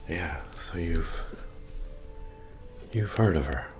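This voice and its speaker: Super deep slow guy acting as a friendly medieval innkeeper, soft asmr murmur, bard music behind him. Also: expressive. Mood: wistful, modest. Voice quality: deep, gravelly, often breathy or whispered. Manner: unhurried, folksy, hesitant murmuring. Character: friendly, humble medieval innkeeper, retired adventurer, chatty. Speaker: ASMR roleplayer. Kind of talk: soft-spoken ASMR fantasy roleplay. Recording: music under the voice.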